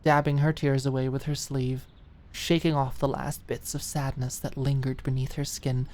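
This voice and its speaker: A woman narrates a scripted drama in a low register. She reads as an audiobook storyteller, with a faint rumble of noise in the recording. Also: level tone, normal speed, a little mellow.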